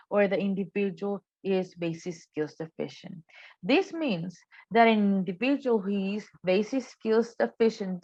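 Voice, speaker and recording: A woman whose first language is not English, speaking English with an accent and explaining program rules in a deliberate training presentation. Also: medium pitch, no echo, lo-fi sound. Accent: non-native English. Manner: deliberate, explanatory, stresses key terms.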